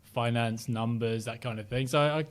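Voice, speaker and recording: A middle-aged man from Sheffield with a softened northern English accent, talking as an interview guest, reflective and full of ums and restarts. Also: mid-range voice, fairly even intonation, moderate pace. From Sheffield, South Yorkshire, England. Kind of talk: casual interview chat.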